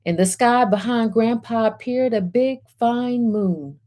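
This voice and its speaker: A woman hosting a storytime as a reverend, speaking in measured short phrases as she welcomes, guides and reads aloud. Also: fairly high pitch, warm tone. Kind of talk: gentle guided centering and picture book read-aloud.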